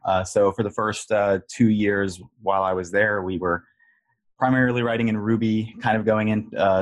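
A man being interviewed as a guest software engineer on a podcast, talking casually and haltingly with lots of filler words. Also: lowish voice, dry recording.

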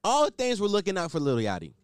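A young man with a high voice talks fast and bluntly on his own podcast, swearing and riffing as he goes. His pitch leaps all over the place. The sound is dry and close, with no room around it. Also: wildly swooping pitch, no echo. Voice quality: higher-pitched voice. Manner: opinionated, blunt, swears, restarts and riffs. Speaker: podcast host. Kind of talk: solo podcast commentary.

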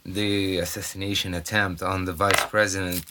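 A Brazilian man hosting a casual solo podcast, rambling and halting with many fillers, recorded on a cell phone at an off-grid home in the mountains. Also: low-pitched, flat delivery, heavy background noise. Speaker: podcast host.

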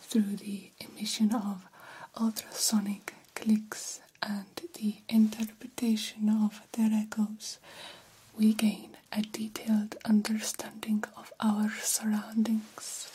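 A woman playing a soothing, formal female vampire doctor in a breathy ASMR whisper, speaking with deliberate, old-fashioned phrasing. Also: mid-range voice, completely flat delivery, dark tone, a little hiss or hum, close to an everyday voice, poor audio. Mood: proud, explaining. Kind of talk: ASMR roleplay.

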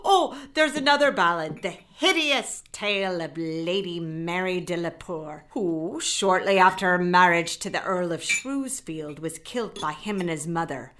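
A woman's voice: fairly low pitch, animated, unhurried, hardly any pauses, fairly bright tone. An actress playing a learned, frank woman telling old legends in a radio drama, in an erudite storytelling manner. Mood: relishing.

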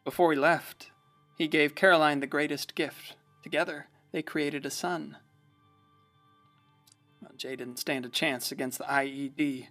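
Folksy storyteller, gravelly, forceful, wry and gossipy, radio play narration, faint music behind. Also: male voice, a little high, steady tone, a little slow. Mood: wry, fond.

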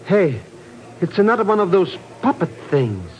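An actor playing an excitable, anxious comic sidekick in a 1950s radio drama, stammering and repeating himself. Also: male voice, high voice, moderate pace, lo-fi sound. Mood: puzzled, uneasy. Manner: flustered, stammering, repeats words. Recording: noisy vintage radio recording.

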